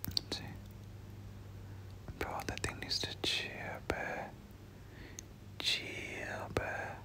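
A person doing whispered ASMR game commentary, speaking casually in gamer slang and often repeating short phrases. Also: very slow. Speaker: gameplay commentator.

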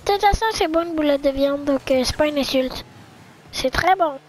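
A young woman with a sore throat, casually commentating a car-soccer video game match in an excitable, joking, chatty way. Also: sits high, normal speed, faint music behind, rough recording. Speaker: esports commentator.

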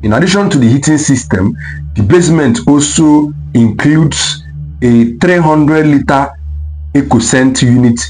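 A man reading a scripted documentary voice-over in a steady, explanatory way. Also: medium pitch, measured pace, poor audio. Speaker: narrator. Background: faint background music.

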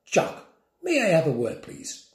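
A woman's voice: low-pitched, wildly swooping pitch, unhurried, exaggerated voice. A man reading a storybook aloud, voicing a prim, stern female schoolteacher who talks in a haughty, clipped, dismissive way. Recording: roomy echo.

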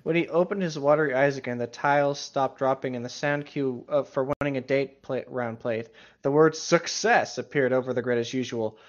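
A man's voice: medium pitch, normal speed, hardly any pauses. A man reading a story aloud casually and breaking off for irreverent, sweary commentary. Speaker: co-host reading stories aloud. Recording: dull, lo-fi microphone.

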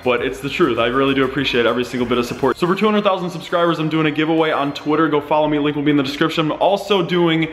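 Highish-voiced young American guy vlogging. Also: fairly even intonation, racing, hardly any pauses. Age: young adult. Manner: informal, jokey. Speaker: vlogger, prankster. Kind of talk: casual vlog chat to camera. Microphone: handheld camera at arm's length.

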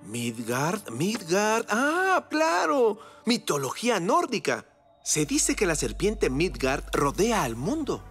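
A man playing an enthusiastic, scholarly inventor father in a cartoon dub, with an expressive, professorial manner. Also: high-pitched, takes it slowly, faint music behind, exaggerated voice. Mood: musing.

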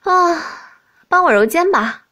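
A woman's voice: extremely high pitch, lively intonation, slow pace, bright, forceful tone, voice pushed up, exaggerated voice. A woman voicing a smug, languid young prince in a princess's body for an audiobook, drawling and teasing. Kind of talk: audiobook character dialogue.

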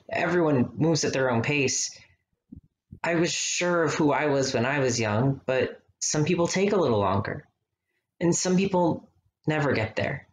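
An actor playing an earnest, tender young man voicing his letter in a radio play. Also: on the high side. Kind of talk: radio play acting, letter read aloud. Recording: noisy home recording with clicks.

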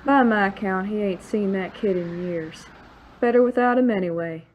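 A woman's voice: mid-range voice, normal speed, darkish tone, highs cut off, slight background noise, lightly acted. A woman playing a callous, dismissive outlaw gang boss in a western audio drama. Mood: callous amusement. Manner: menacing, dismissive. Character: cold, callous male outlaw gang boss, former sheriff.

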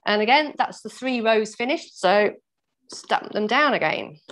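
A woman with a croaky voice teaching a weaving workshop over a video call, patiently explaining each step and laughing off her own mistakes. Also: a little high, expressive, unhurried, a bit bright. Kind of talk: step-by-step craft demonstration. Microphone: laptop mic over video call.

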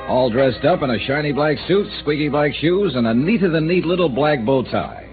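A man's voice: fairly high pitch, animated, normal speed, lightly acted. A man voicing a dry, wry insurance investigator who narrates a 1950s-style radio drama. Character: wry hard-boiled male insurance investigator. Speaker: narrator and lead. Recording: old lo-fi radio broadcast with music.

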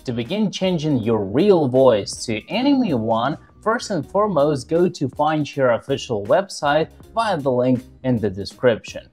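Upbeat guy in his 20s doing a tutorial, really animated intonation, bit of music under it. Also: medium pitch, measured pace, few pauses, breathy edge. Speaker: tutorial presenter. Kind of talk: scripted tutorial narration to camera.

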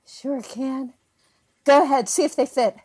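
Actress as small-town woman, high voice, folksy, effusive, coaxing, naturalistic audio drama. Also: a little slow, a little mellow, slightly rough. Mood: hospitable.

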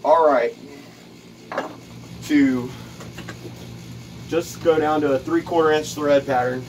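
A man's voice: a little high, fairly even intonation, slow pace, noisy background. Rambling man explaining a workshop build. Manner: rambling, repeats words, many fillers. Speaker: DIY video host. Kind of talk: casual workshop how-to demonstration. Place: cluttered home workshop at a workbench.

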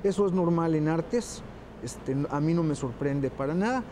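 Man with a mellow, slightly high voice. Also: normal speed, slight background noise. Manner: calm, explanatory, frequent "este" fillers. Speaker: interview guest, music juror. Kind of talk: casual interview answer. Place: indoors on a music stage.

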